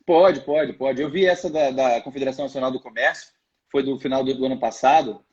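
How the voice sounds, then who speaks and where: A man's voice: mid-range voice, steady tone, fairly quick, darkish tone. A man speaking as a guest in a live online interview, explaining his views hesitantly with many restarts. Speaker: guest, financial education project member. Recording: muffled video call audio.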